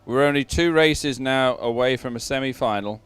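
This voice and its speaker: A man giving live sports commentary in a chatty, somewhat halting announcing style. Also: mid-range voice, fairly bright tone, smooth, no room sound.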